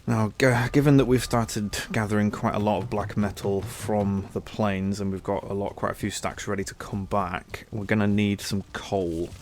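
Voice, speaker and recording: Brit guy with a cold, stuffed up nose, lowish voice, chill chatty game commentary, faint background hum. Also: fairly even intonation, moderate pace, hardly any pauses. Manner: chatty, easygoing, thinks aloud. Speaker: gaming channel host. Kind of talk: casual gameplay commentary.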